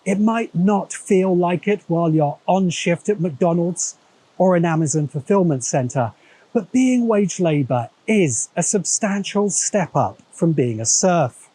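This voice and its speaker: Wry English male essayist; soft husky voice, slightly high, reading scripted narration. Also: expressive, a little slow, low-quality recording. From England. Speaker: video essay narrator and host.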